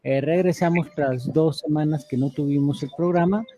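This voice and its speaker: Mellow man, relaxed co-host. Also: on the high side, moderate pace. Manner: relaxed, frequent "este" fillers. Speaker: radio co-host. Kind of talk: casual radio hosting.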